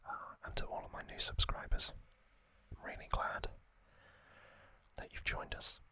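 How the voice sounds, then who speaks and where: Slow, breathy whispering man. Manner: slow, gentle delivery with pauses. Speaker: host of an ASMR trivia channel. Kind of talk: whispered ASMR narration.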